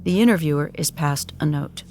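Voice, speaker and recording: A man's voice: a little high, brisk, a little mellow, slight background noise. A man reading scripted, dramatic narration as the narrator of an audio drama.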